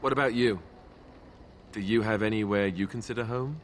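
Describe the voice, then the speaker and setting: A man acts out a young Grey Warden warrior from a fantasy video game, earnest and wistful. His voice sits on the low side, with faint ambient noise behind it. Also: normal speed, lightly acted. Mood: reflective. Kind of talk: video game dialogue acting.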